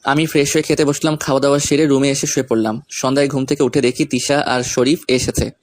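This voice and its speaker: A man's voice: medium pitch, flat delivery, quick pace, a little mellow. A man reading a scripted first-person story, narrating events and speaking the lead character's lines.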